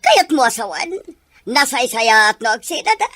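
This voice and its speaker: A woman's voice: on the high side, very animated, moderate pace, a bit bright, no room sound. A woman playing an anguished, ill-fated mother in a melodramatic Ilocano drama. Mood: agitated.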